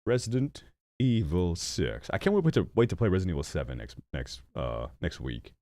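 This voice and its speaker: A young man with a deep voice that swings widely, chatting on a live stream. He rambles and mocks himself, and he sounds stuffy from a blocked nose and hiccups. The recording is close and dry. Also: moderate pace, no room sound. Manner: rambling, self-mocking, animated with sudden jumps in pitch. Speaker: streamer and gaming channel host. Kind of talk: casual live-stream chat.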